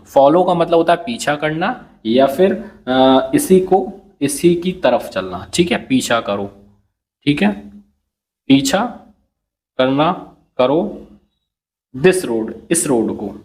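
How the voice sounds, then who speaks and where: Man, unhurried, drawn-out repetition, English tutor explaining phrases. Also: a little high. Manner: slow, repetitive, checks understanding with "ठीक है". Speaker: English teacher. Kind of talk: Hindi-medium English lesson, word by word translation.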